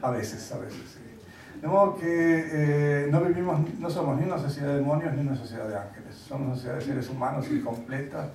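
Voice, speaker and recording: A man giving a lecture, wry and conversational, pausing often to search for words. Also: on the high side, fairly even intonation, a little mellow, a little hiss or hum. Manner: halting, searching for words with many fillers. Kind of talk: lecture to an audience. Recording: echoey hall, mic at a distance.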